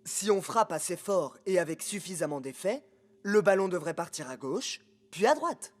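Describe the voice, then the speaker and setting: A man voicing an earnest, determined young male footballer in an animated series, eagerly explaining. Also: high voice, normal speed. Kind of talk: French animated series dubbing.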